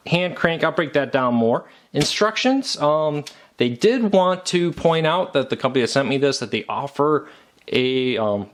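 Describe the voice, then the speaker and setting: An American man giving a casual, unscripted product review in a conversational, matter-of-fact way. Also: mid-range voice. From United States.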